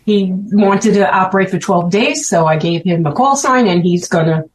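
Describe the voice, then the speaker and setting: A woman's voice: on the low side, normal speed, low-quality recording. Chatty Brooklyn woman, light hiss. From Brooklyn, New York. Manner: chatty, laughs often, lists things excitedly. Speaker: guest, special event organizer. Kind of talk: casual chat.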